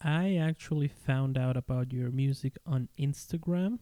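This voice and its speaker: A man's voice: mid-range voice, a little slow, mellow, no room sound. A man hosting a podcast, enthusiastic and full of praise, often stumbling and repeating words. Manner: enthusiastic, effusive praise, stammering restarts. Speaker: podcast host. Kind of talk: podcast interview.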